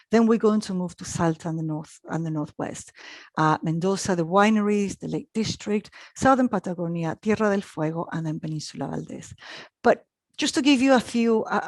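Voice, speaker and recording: A woman's voice: on the low side, darkish tone, no room sound. A middle-aged woman from Chile, presenting a live online travel talk in a chatty way, with frequent ums and restarts. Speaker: travel expert presenting a webinar.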